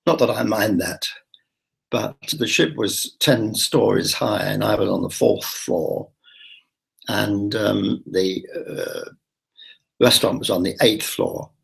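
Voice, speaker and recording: A man's voice: fairly low pitch, lively intonation, slow, vocal fry, slightly rough, rough recording. A man telling a rambling, confiding travel-diary story, full of restarts and asides. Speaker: travel vlogger.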